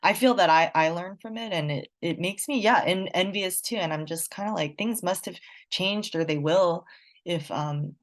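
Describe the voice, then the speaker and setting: A woman's voice: lowish voice, moderate pace. A woman from the United States, a journalist answering audience questions in a chatty, reflective way with lots of fillers. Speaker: guest speaker, journalist. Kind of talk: casual Q&A talk.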